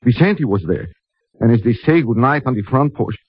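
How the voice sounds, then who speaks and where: A man playing a passionate, fatalistic young man in a 1950s radio drama, with a Spanish accent and halting, melodramatic phrasing. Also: mid-range voice, expressive, husky, dry recording. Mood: anguished confession. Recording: noisy, dull 1950 radio recording with clicks.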